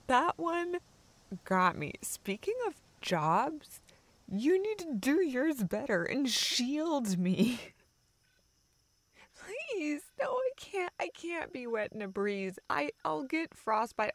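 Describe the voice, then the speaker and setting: A woman's voice: high voice, no echo, heavily acted. A woman playing a playful, nervous young woman in an intimate romantic audio roleplay, hesitant and stammering, with a teasing, giggly edge. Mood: playfully pleading. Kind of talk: intimate romantic audio roleplay, naturalistic improvised-sounding dialogue.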